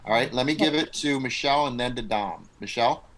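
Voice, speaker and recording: A man's voice: medium pitch, brisk, fairly bright tone. A man leading a table read over a video call, blunt and coach-like in manner.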